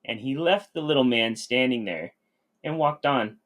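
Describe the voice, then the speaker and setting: A man's voice: mid-range voice, normal speed, a bit bright. A man reading a scripted children's story aloud. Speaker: storybook reader.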